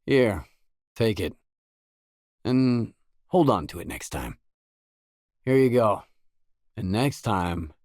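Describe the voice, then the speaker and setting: Low-voiced, terse lone mercenary. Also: male voice, a little mellow, no room sound, clean studio sound. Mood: blunt, irritated. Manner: terse, stern, matter-of-fact. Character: gruff, guarded lone mercenary man, blunt and cool-headed. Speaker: video game protagonist voice. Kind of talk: scripted game dialogue lines.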